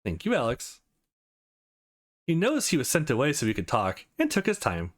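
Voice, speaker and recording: A man's voice: mid-range voice, huge pitch swings, moderate pace. A man voicing a blunt, socially awkward young woman in a visual novel, matter-of-fact and teasing.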